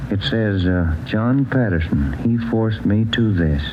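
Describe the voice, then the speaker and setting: Lively low-voiced police detective partner. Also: male voice, unhurried. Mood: puzzled, examining. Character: male police detective, the sergeant's plain-spoken partner. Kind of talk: 1940s radio crime drama acting. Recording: muffled vintage radio, music behind.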